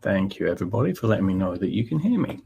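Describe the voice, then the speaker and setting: A man's voice: deep voice, big pitch swings, racing, vocal fry, mellow, lo-fi sound, slight background noise. A middle-aged Scottish man with a Scottish accent hosting a live technical webinar, talking conversationally with many fillers and restarts. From Scotland. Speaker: webinar presenter, technical manager.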